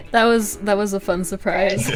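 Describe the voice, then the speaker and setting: A woman hosting a casual chat in an easygoing, giggly manner. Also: mid-range voice. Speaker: host, artist. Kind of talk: casual chat, video commentary.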